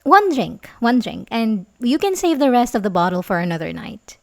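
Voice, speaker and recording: A woman's voice: fairly high pitch, very animated, normal speed, creaky. A young woman acting an ASMR roleplay as a sweet, coaxing, secretly obsessive babysitter, speaking hesitantly and intimately with little giggles. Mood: coaxing, playful.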